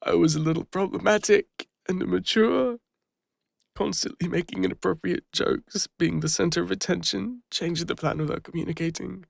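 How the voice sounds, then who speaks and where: A man with an English accent playing a gentle, bashful lone ranger and former healer in an intimate audio roleplay, speaking hesitantly. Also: sits high, creaky, exaggerated voice. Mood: vulnerable, regretful. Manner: hesitant, soft-hearted, halting. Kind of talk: intimate scripted audio roleplay addressed to the listener.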